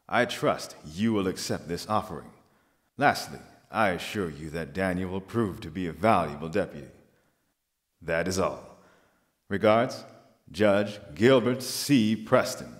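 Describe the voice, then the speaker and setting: Man acting a very deep, domineering judge. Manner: commanding, formal, pompous. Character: stern, domineering Chicago judge and demanding father. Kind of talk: audio play acting.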